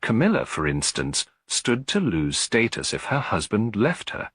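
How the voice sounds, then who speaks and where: A man's voice: fairly low pitch, huge pitch swings, unhurried, fairly bright tone, breathy edge, dry recording. A man reading a scripted detective story as an audiobook narrator.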